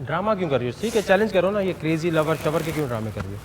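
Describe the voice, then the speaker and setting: A man's voice: fairly high pitch, animated, racing, a little hiss or hum. A man hosting a dare reality show, stern and mocking toward the contestants. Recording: music loud behind the voice.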